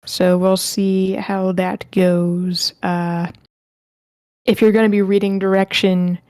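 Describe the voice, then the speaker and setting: Mellow woman hosting, close dry sound. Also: medium pitch, flat delivery, slow, no echo. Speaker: host of an online script table read. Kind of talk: casual livestream hosting. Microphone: close mic with a sock over it, online voice call.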